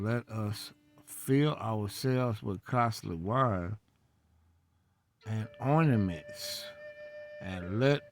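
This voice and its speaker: A man reading scripture aloud and commenting on it, phrasing deliberately and haltingly, with long pauses. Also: fairly low pitch. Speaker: religious reader and commentator. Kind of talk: slow scripture read-along with commentary.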